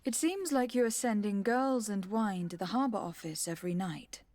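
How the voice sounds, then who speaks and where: A woman's voice: mid-range voice, normal speed, darkish tone, lightly acted. An actress playing a poised, courteous young noblewoman diplomat in a video game, speaking formally and reassuringly. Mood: composed. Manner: polite, composed, formal. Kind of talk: scripted video game dialogue.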